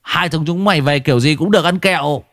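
A man's voice: on the high side, quick pace, fairly bright tone, dry recording, voice pushed up. A coarse thug jeers in a raised, mocking voice, as a male storyteller plays him. The sound is dry and close. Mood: jeering. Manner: jeering, coarse.

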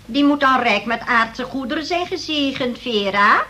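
An actress playing a prim, nosy, churchgoing neighbour in a Dutch radio play, unctuous and full of pious insinuation. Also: female voice, high voice, expressive, normal speed, bright, forceful tone. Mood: disapproving.

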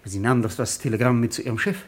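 An actor playing an insistent, exasperated traveller in a radio drama, speaking urgently with a husky voice. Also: male voice, mid-range voice, rapid-fire, lightly acted. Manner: urgent, argumentative, clipped. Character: insistent, exasperated German male traveller pleading with officials. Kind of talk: German public radio drama acting.

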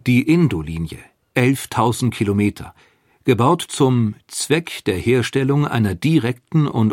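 A man reading a scripted documentary narration in a measured, factual way. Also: medium pitch, darkish tone, no room sound, studio quality.

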